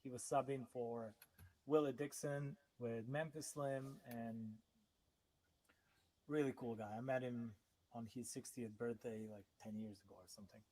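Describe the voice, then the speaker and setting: A man from Serbia hosts a relaxed livestream chat at an everyday middle pitch. He talks in a halting way, with plenty of fillers and false starts. His first language is Serbian. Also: poor audio. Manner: halting, many restarts and fillers. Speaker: host.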